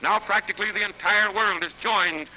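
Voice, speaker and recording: A man's voice: sits high, steady tone, moderate pace, dull audio, background noise. An American man delivering scripted 1940s newsreel narration in a dramatic, emphatic, declamatory manner, on a vintage soundtrack.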